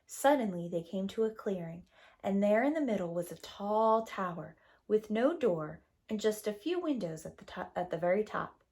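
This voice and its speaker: A woman storyteller reading a fairy tale to young children in a dramatic, deliberate way. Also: mid-range voice, lively intonation, darkish tone. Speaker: preschool teacher, storyteller.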